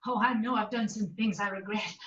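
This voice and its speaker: A woman's voice: medium pitch, steady tone, fairly quick, fairly bright tone, a little hiss or hum, natural voice. A woman playing an earnest, dreamy vaudeville singer, gushing melodramatically in a radio play. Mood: hopeful, melodramatic. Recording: roomy, distant, dull sound with music.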